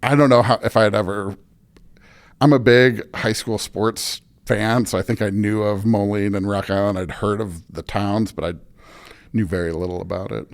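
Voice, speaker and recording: A man's voice: fairly low pitch, steady tone, moderate pace. A middle-aged American man chatting casually and telling anecdotes as an interview guest on a podcast.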